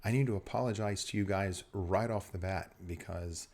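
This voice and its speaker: Low-voiced man hosting with a scratchy voice. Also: normal speed, darkish tone. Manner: chatty, explanatory, occasional small laughs. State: scratchy voice from weather change. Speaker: host. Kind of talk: casual video presentation.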